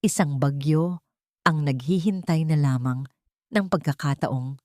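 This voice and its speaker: Female audiobook narrator, low register, solemn suspenseful drama reading, dry studio-like sound. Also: a little slow, mellow, no room sound. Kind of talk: dramatic scripted story narration.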